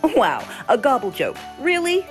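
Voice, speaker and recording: A woman's voice: sits high, wildly swooping pitch, measured pace, soft background music, exaggerated voice. A woman playing a naive turkey hen. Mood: worried. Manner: chatty, increasingly alarmed. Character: naive young female turkey on a makeover show.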